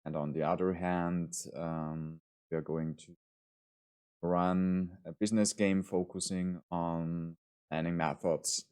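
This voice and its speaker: A man with an Austrian accent delivers a university lecture online. His voice sits very low, and he speaks in a measured, formal way with frequent ums and restarts. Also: a little mellow.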